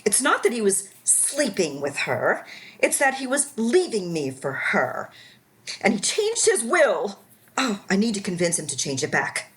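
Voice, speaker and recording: Slow, sultry scheming society woman, played by an actress. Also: medium pitch, wildly swooping pitch, fairly bright tone. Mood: indignant, bossy. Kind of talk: radio play acting.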